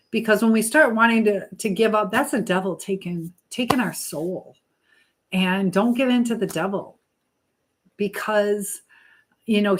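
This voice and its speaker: A woman hosting a live chat, speaking earnestly and haltingly with pauses as she gives advice and encouragement to viewers. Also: medium pitch, rough recording. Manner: religious encouragement. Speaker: live stream host.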